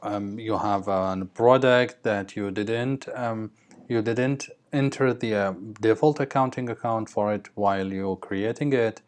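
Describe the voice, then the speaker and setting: A man explaining software step by step as an instructor in a screen tutorial, checking in as he goes. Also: on the low side.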